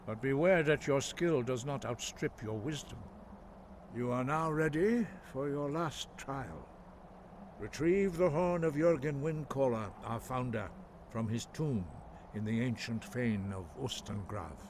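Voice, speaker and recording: An actor playing a stern, solemn elderly monk mentor in a fantasy game, speaking in a measured, formal, grave manner. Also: male voice, mid-range voice, darkish tone, close to an everyday voice. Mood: grave, cautionary. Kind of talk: scripted fantasy game dialogue. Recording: very noisy game audio with background ambience.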